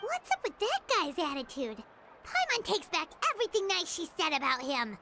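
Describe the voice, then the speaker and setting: A woman's voice: very high voice, big pitch swings, moderate pace, very noisy. A woman voicing a tiny, childlike, chatty fairy companion in a video game, speaking of herself in the third person. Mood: indignant. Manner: refers to self in third person.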